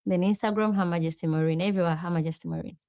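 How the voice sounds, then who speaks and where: A woman's voice: on the low side, steady tone, very fast, a little mellow, no room sound, clean studio sound. A woman from Uganda, a podcaster introducing herself precisely. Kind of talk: self-introduction.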